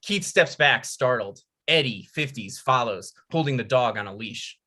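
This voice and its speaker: A man's voice: mid-range voice, animated, moderate pace, pushed and bright. A man reading stage directions as narrator at a script table read.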